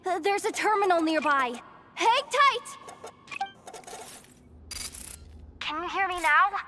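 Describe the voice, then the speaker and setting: A woman's voice: very high voice, sharp tone, slight background noise, full character voice. A young woman playing a plucky, frazzled, determined technician in a video game, talking herself through problems in hesitant starts and outbursts. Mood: urgent, focused. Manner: hesitant then bursting out, self-talking.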